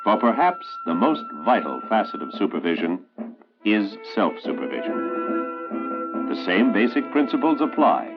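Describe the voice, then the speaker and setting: An American man narrating a 1960s instructional film in an authoritative, emphatic, measured oratorical way. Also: lowish voice, sing-song. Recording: tinny band-limited 1960s film soundtrack, loud music. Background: loud musical score.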